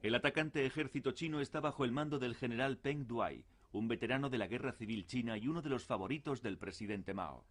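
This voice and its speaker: A man giving measured, scripted narration for a history documentary. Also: medium pitch.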